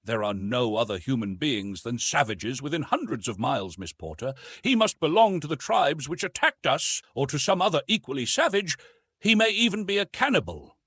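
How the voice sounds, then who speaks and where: A man voicing a formal, jealous gentleman in an audiobook, precise and insistent. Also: medium pitch, big pitch swings, moderate pace, husky, dry recording, studio quality, pitched above the performer's own voice, exaggerated voice. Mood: puzzled, insistent. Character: chivalrous but jealous gentleman, formal and sneering.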